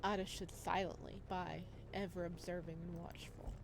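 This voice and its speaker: A woman's voice: fairly low pitch, little pitch movement, normal speed, gravelly. A woman reading a visual novel aloud as dramatic narration for a let's play.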